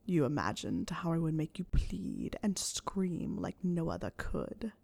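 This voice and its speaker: Woman acting older male wizard, low rough growl, hissed half-whispers, slow, commanding, taunting, audiobook character. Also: big pitch swings, darkish tone, full character voice. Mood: seductive, commanding.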